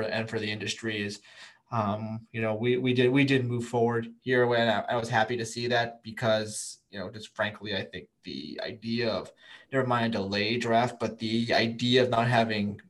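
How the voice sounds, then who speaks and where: A man's voice: fairly low pitch, a bit bright. Rambling thoughtful male podcast guest. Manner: thoughtful, lots of fillers and restarts. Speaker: guest, prospect writer. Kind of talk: casual podcast interview.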